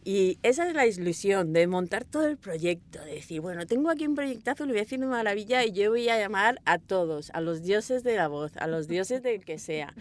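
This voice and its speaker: A woman with an accent from Spain, an audio fiction director telling rambling, animated stories in a casual interview. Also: mid-range voice, moderate pace, few pauses. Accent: Spanish (Spain). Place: small recording booth.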